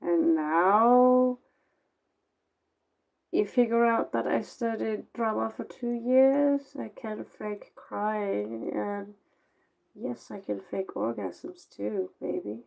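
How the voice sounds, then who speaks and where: Slow, gravelly Norwegian woman, tired. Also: medium pitch, darkish tone, poor audio. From Norway. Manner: sarcastic, rambling, blunt. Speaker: tarot reader. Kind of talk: casual late-night tarot reading.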